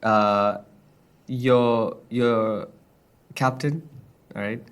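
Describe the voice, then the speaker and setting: A man's voice: mid-range voice, steady tone, extremely slow, clean tone. Give me a playful young man hosting a casual chat podcast. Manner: playful, laughs often. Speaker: podcast host.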